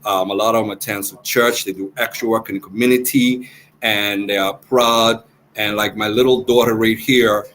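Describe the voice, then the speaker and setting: A man's voice: fairly low pitch, fairly bright tone. A man, a rugby coach speaking earnestly and proudly in a remote interview, often repeating himself. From Turks and Caicos Islands. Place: outdoors beside team. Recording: faint background noise.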